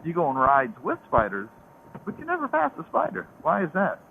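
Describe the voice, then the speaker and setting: A man's voice: a little high, huge pitch swings, brisk, creaky. Southern guy vlogging from his bike, easygoing storyteller, thin phone-like sound with light wind hiss. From American South. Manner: rambling, storytelling. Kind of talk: casual chat while riding. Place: outdoors on a motorcycle. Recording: tinny intercom with faint road noise.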